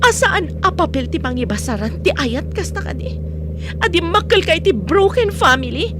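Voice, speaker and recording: A woman's voice: extremely high pitch, very animated, normal speed, noisy background. An actress playing a headstrong, hurt young woman in a radio drama. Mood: hurt, insistent.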